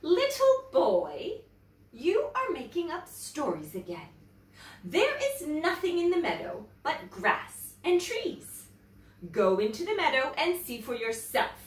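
A woman's voice: high voice, wildly swooping pitch, takes it slowly, fairly bright tone, echoey room, distant mic, pitched above the performer's own voice, exaggerated voice. A woman voicing a dismissive, exasperated mother from a storybook, emphatic and chiding.

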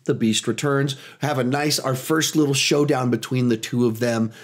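A man in his thirties reviewing a film in a small home studio, animated and a little hoarse from a cold. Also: mid-range voice. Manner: animated, gestures a lot, talks in quick bursts. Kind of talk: energetic spoiler movie review.

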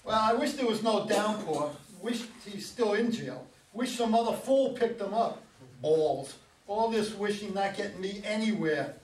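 A man's voice: sits high, lively intonation, unhurried. An older man playing a weary, uneasy driver, reflective and wry, in a staged play reading. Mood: uneasy, grumbling. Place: meeting room, around a table. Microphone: far camera. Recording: distant mic, echoey room, background noise.

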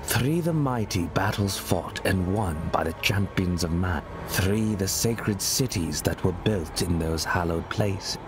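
Hooded mentor for fantasy game: knowing, formal, very measured, low register. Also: male voice, lively intonation. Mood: grave. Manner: formal, deliberate. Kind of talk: dramatic fantasy game dialogue. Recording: noisy ambient game soundscape.

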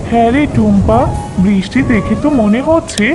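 A fairly high, plaintive mother's voice acted by a woman in a cartoon fairy tale. She is a poor, pious villager, anxious yet tender and soothing, with clear music behind her. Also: normal speed, natural voice.

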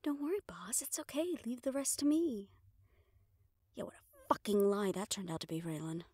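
A woman's voice: mid-range voice, very animated, moderate pace, mellow, dry recording, studio quality. A woman playing a stressed, foul-mouthed, fiercely loyal mafia assistant in an audio roleplay, exasperated and clipped. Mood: anxious, muttering. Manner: exasperated, clipped, heavy swearing, sighs. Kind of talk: dramatic audio roleplay, close solo performance.

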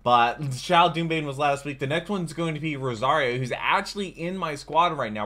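A man's voice: medium pitch, fairly bright tone. A young man with an American accent giving chatty, rambling reaction commentary as a video host. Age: young adult. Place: small room at home, indoors.

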